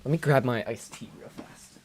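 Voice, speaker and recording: A man live-streaming a game, chatting casually to his viewers with a slightly hoarse voice and lots of fillers as he thinks aloud. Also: medium pitch, moderate pace, very noisy.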